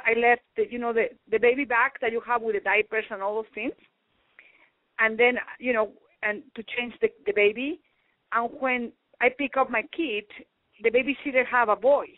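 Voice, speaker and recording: Halting woman on a phone line. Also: on the high side, dry recording. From Mexico. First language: Spanish. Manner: halting, searching for words, restarts. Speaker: guest. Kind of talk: call-in radio interview.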